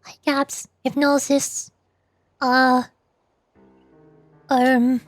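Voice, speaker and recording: A young woman streamer with a high, light voice chats casually with her viewers. She rambles and hesitates, filling pauses with ums and uhs and restarting her sentences as she goes. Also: level tone, no echo, soft background music. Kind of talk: casual live-stream chat.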